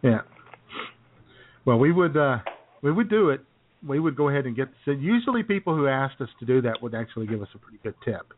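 A man's voice: mid-range voice, big pitch swings, fairly quick, slight background noise. Phone-like casual male co-host. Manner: chuckles often while talking. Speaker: talk show co-host. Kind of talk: casual comic banter and anecdotes. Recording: thin phone-like lo-fi sound.

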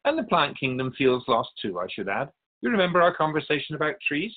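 A man playing a wise otherworldly keeper of souls in a radio drama, speaking in a measured, philosophical, instructive way. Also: fairly high pitch, very animated, phone-quality sound. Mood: gently probing. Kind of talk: radio drama dialogue in interview form.

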